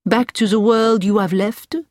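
A woman voicing a gallant French male doctor in a storybook, soft and courteous. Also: medium pitch, fairly even intonation, a little slow, no room sound, clean studio sound, pitched above the performer's own voice, natural voice. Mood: probing.

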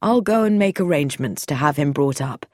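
A woman voicing a cheerful middle-aged male doctor in a storybook reading, dropping her voice low and speaking gravely. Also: animated, fairly quick, dry recording, studio quality.

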